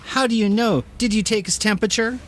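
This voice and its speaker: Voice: medium pitch, fairly quick, a bit bright, a little hiss or hum, natural voice. A performer playing a sassy, precocious young woman, flippant and smart-mouthed, in an amateur radio play. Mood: defiant.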